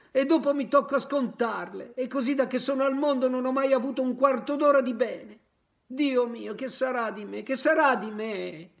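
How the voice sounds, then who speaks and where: Reader putting on a squeaky high little puppet boy, cheeky and stubborn. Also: creaky. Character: cheeky wooden puppet boy, defiant then desperate.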